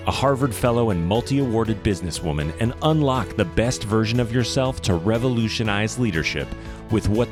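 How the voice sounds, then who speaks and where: A man announcing a show's scripted promotional intro in a dramatic, declamatory delivery. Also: fairly low pitch. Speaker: show announcer. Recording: voice over loud music. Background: music.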